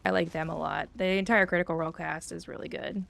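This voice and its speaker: A woman's voice: fairly low pitch, flat delivery, fast, gravelly, a bit bright. A woman livestreaming a game in a chatty, upbeat way, thinking aloud and reading out viewer comments. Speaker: livestreamer playing an online fantasy game. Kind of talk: casual gameplay chat, reading out viewer comments. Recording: faint game music behind the voice. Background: faint game music.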